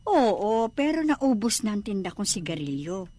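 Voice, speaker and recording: An actress playing a nosy, grumbling Filipino shopkeeper in a radio drama, exclamatory and complaining. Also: female voice, mid-range voice, sing-song, normal speed, dark tone, no room sound. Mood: coaxing. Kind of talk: radio drama acting in Tagalog.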